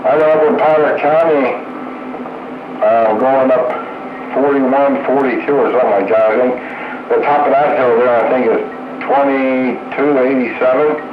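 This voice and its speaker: A man's voice: medium pitch, fairly even intonation, normal speed, echoey room. Man on tinny, very noisy radio. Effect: two-way radio transmission. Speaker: radio operator. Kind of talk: casual radio chat.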